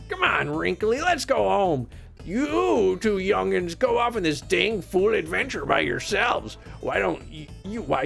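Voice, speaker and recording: A woman's voice: medium pitch, vocal fry, fairly bright tone, voice pushed up, full character voice. A woman voicing a cranky old male ape grandfather from a storybook, grumbling and scolding in a folksy old-timer way.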